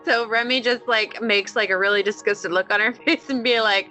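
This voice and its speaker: A woman's voice: medium pitch, fairly even intonation, normal speed, pushed and bright. A woman playing a tabletop roleplaying game, chatting casually and improvising narration as a player. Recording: music behind the voice.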